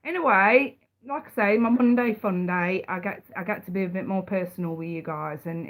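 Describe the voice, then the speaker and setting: A woman with a head cold, chatting on a live stream and giving tarot readings. She talks in a chatty way, repeats words and calls her viewers sweetheart and darling. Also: medium pitch. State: congested with a cold. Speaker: tarot reader.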